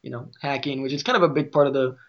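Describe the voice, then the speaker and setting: A man's voice: medium pitch, fairly even intonation, racing, muffled recording. A man with a Midwestern American accent talking casually as host of a podcast discussion. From Midwest United States.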